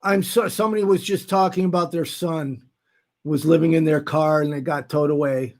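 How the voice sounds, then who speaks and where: High-pitched, earnest man near sixty. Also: fairly even intonation, normal speed, a little mellow. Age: late 50s, nearly 60. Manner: earnest, emphatic, candid. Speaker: nonprofit founder hosting a livestream. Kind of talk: casual livestream talk to viewers.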